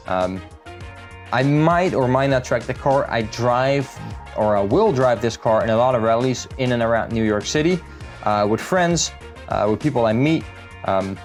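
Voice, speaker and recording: A man from the Netherlands, talking through a car build in a casual, matter-of-fact vlog style as a salesman would. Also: medium pitch.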